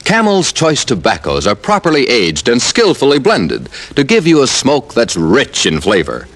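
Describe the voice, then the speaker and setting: A man delivering a 1950s radio sponsor pitch in an emphatic salesman's way. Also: huge pitch swings, moderate pace, a bit bright. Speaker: radio commercial announcer.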